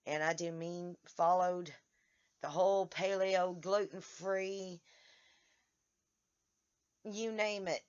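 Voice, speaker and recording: Hoarse woman, vlogger, tired, halting delivery full of restarts; muffled home-video sound. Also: fairly low pitch, steady tone, darkish tone. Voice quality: halting, many restarts and stammers. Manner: tired, speaks in short broken phrases. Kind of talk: casual vlog to followers. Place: outdoors in the sun.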